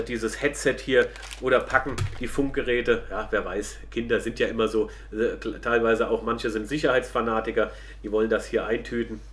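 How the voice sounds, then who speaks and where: Male product reviewer, mid-pitched voice, colloquial and chatty, walking viewers through a demonstration.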